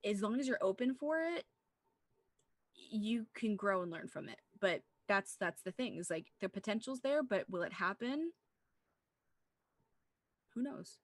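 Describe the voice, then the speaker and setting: A woman's voice: mid-range voice, animated, measured pace, long pauses, dry recording. A woman co-hosting a casual movie discussion, talking in an earnest, rambling way with plenty of "like". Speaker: podcast co-host.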